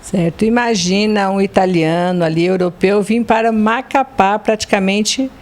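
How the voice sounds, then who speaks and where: A woman's voice: mid-range voice, normal speed, a little mellow. A woman interviewing an elderly priest, asking polite and respectful questions.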